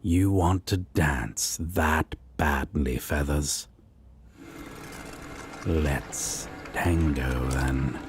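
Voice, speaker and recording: A man playing a sardonic devil roommate in a romantic audio roleplay, with a husky rasp, drawling and teasing but doting on his sweetheart. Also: extremely low pitch, extremely slow, pauses often, background noise, exaggerated voice. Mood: menacing, eager. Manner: taunting, drawling, playfully mocking.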